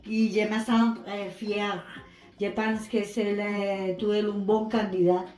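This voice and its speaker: A middle-aged woman gives an informal testimonial slowly, searching for words in non-native French. She is in an echoey room, with faint music behind her. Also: mid-range voice, little pitch movement, a little mellow. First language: not French. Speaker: mother giving a testimonial.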